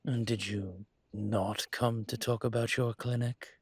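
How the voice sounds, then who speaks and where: A man playing a courtly, possessive dragon mafia boss in an intimate audio roleplay, speaking in a suave, teasing, formal way with a husky voice. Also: on the low side, fairly even intonation, measured pace, no echo. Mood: suave, flirtatious. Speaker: voice actor.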